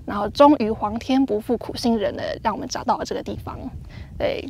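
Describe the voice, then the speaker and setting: A woman's voice: medium pitch, brisk, vocal fry, a little mellow, a little hiss or hum. A young woman casually chatting and explaining clues in a travel vlog, recorded outdoors in desert badlands with a lapel mic. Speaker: travel vlogger. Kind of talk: casual chat.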